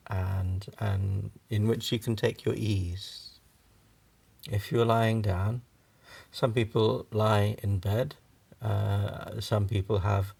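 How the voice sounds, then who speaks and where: A man guides a relaxation meditation in a fairly deep voice with a pronounced creak. He speaks very slowly, in short halting phrases with long pauses. His manner is gentle and reassuring. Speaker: yoga nidra meditation guide.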